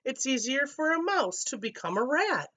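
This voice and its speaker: A woman voicing a small, startled and mocking field mouse in a storybook, squeaking and exclaiming. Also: sing-song, normal speed, a bit bright, full character voice. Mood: astonished, mocking.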